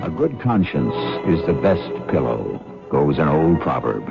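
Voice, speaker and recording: A man hosting a 1970s radio mystery drama, speaking in a measured, ominous storytelling manner. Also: a bass voice, very animated, gravelly. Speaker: radio drama host and narrator. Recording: old lo-fi radio broadcast with music.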